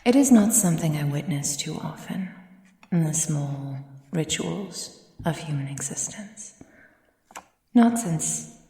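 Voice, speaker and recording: A woman playing an ancient, proud, possessive aristocratic vampire in a soft, breathy ASMR roleplay with formal, measured delivery. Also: low-pitched, animated, heavily acted. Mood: indulgent, measured. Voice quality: breathy, soft, often near whisper. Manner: slow, formal, measured, imperious. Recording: roomy sound with long reverb.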